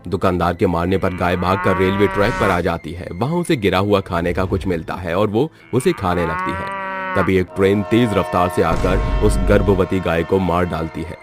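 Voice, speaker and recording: A male narrator tells a scripted horror story. His voice sits low, and music plays clearly behind him. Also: normal speed.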